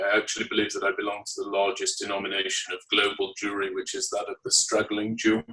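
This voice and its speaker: A man with a northern English Leeds accent talks in a low, steady, even tone, his answers formal and carefully structured. His voice sits a little away from the microphone in this interview. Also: far from the mic, poor audio. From England. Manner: measured, formal, articulate. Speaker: guest. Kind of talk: podcast interview.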